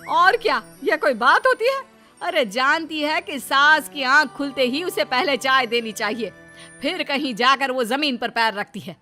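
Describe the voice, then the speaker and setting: A woman acts out a scheming older mother-in-law in an animated family comedy. The voice is high and sharp, nagging and theatrical, quick to scold. Faint music plays underneath. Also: heavily acted. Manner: nagging, theatrical, drawn-out calling and wailing. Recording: music behind the voice.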